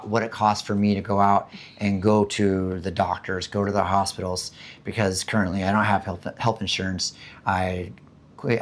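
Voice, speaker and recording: A man from the United States with a hoarse voice after being sick, chatting casually and rambling about living costs, with many fillers and restarts. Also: low voice. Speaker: vlogger. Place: home in the Philippines.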